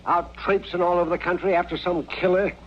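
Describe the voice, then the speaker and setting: An actor playing a cantankerous, fussy older frontier doctor who scolds indignantly in an old radio western. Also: male voice, high voice, little pitch movement, a little fast, close to an everyday voice. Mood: scolding, indignant. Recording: muffled, noisy old radio recording.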